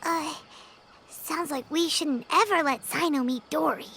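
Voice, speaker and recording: A woman voicing a tiny floating female companion creature in a video game, cheeky, chatty and excitable, prone to blurting things out. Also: high-pitched, unhurried, slight background noise. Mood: alarmed.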